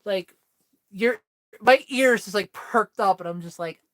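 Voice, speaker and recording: A woman's voice: medium pitch, unhurried. A woman in her thirties chatting candidly with viewers on a livestream, telling a personal story in a rambling way full of fillers. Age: 30s. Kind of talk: casual chat with viewers.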